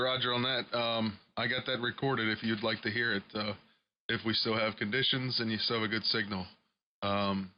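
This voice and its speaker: A man, an amateur radio operator, chatting casually about technical things in an easygoing, slightly hesitant way. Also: mid-range voice. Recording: slightly dull audio, faint room noise.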